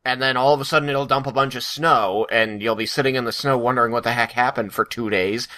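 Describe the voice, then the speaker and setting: A man's voice: medium pitch, fairly even intonation, quick pace, sharp tone. A man hosting a casual podcast, bantering with friends in a joking, self-mocking way. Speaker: podcast host.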